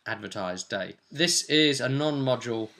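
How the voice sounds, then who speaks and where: A man's voice: mid-range voice, expressive, moderate pace, a bit bright. A man hosting as game master, casually recapping a tabletop role-play session in a rambling, descriptive way.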